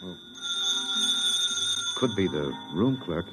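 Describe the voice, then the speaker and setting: An actor playing an earnest, hesitant American husband on the run in a classic radio drama. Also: male voice, sits low, lively intonation, slow, loud music behind the voice. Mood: on edge. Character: anxious American husband, a fugitive from the future. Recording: dull old radio recording.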